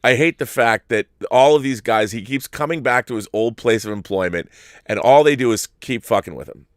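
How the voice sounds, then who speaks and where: A man's voice: medium pitch, fairly quick, no room sound, clean studio sound. A man co-hosting a TV review show, chatting casually in a blunt, emphatic way. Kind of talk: casual opinionated chat.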